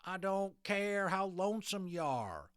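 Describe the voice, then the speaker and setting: A man voicing a tiny sarcastic, belligerent storybook cowboy with a folksy, drawling, shouty delivery. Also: high voice, big pitch swings, measured pace, clean tone, no room sound, clean studio sound, pitched above the performer's own voice, full character voice.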